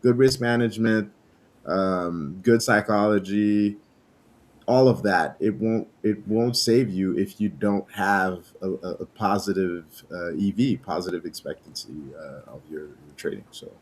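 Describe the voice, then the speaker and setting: A man whose first language is French, hosting a casual trading livestream, relaxed and laughing often while greeting viewers. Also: sits low, unhurried. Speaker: livestream host.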